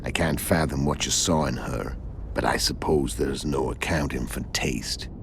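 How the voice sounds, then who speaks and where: An actor playing a hulking, cynical video game assassin, calm and menacing, with a gravelly voice and sardonic manner. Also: male voice, noisy background. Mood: wry. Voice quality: very deep, gravelly. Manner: slow, sardonic.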